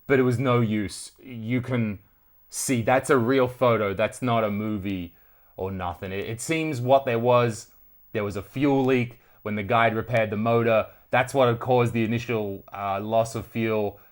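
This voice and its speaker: An Australian man hosts a casual story-time video. He speaks at a middling pitch in an animated, conversational manner, swearing freely as he goes. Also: unhurried. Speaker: retro tech video host.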